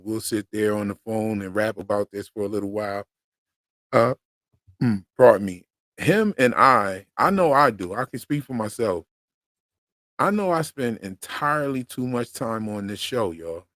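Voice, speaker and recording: A man hosting a live stream, chatting casually with slang and long rambles, his voice going hoarse. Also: fairly low pitch, fairly bright tone, no room sound, clean studio sound. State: losing his voice. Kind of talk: casual fan commentary.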